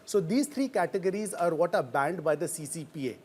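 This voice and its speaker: A man with an Indian accent explaining a story as a news reporter in a hesitant, halting way. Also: fairly high pitch, lively intonation, a little mellow, husky. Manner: hesitant, halting delivery with frequent pauses.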